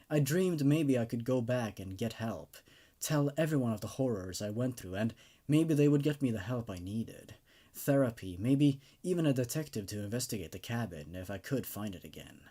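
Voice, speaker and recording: Expressive man telling and reviewing horror stories. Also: medium pitch. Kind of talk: dramatic horror narration and casual enthusiastic review.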